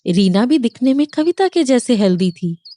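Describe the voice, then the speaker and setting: A woman's voice: fairly high pitch, very animated, moderate pace, dark tone, no room sound. A woman narrating a scripted moral story for children.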